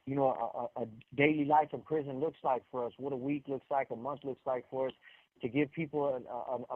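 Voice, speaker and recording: A man's voice: medium pitch, dry recording. A man calling in over a narrow phone line, talking casually and earnestly, often repeating and restarting phrases for emphasis. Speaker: incarcerated guest caller. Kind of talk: casual, earnest explanatory talk. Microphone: telephone handset.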